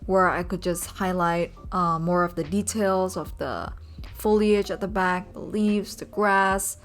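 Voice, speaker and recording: Soft-spoken young woman narrating, low-pitched. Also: steady tone, measured pace, faint music behind. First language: Indonesian. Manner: soft-spoken, rambling, many ums. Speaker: vlogger and urban sketcher. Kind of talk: casual voice-over narration.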